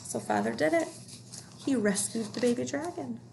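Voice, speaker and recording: A woman reading a children's story aloud as an expressive storyteller. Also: a little high, normal speed, creaky, music in the background, low-quality recording.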